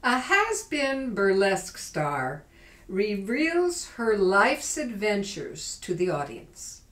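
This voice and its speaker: A woman's voice: mid-range voice, sing-song, slow, fairly bright tone. A woman presenter reading a scripted introduction to a stage piece. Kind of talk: scripted introduction, read aloud. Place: performance hall at an arts center. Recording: echoey hall.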